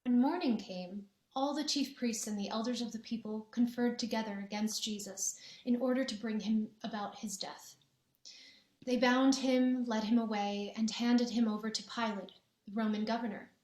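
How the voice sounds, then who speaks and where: A woman's voice: on the high side, steady tone, moderate pace, darkish tone. Woman narrator in an echoey church. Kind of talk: scripture narration. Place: church sanctuary.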